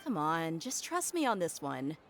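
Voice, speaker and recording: A woman's voice: low-pitched, sing-song, measured pace, a little hiss or hum, heavily acted. An actress playing a confident, protective young woman in an anime video game. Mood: cheerful, coaxing.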